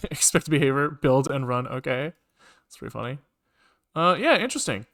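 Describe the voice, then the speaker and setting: A man thinking aloud casually as he programs on a livestream, halting and questioning himself as he mutters along. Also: mid-range voice, lively intonation, fairly bright tone. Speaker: live-coding streamer, programmer.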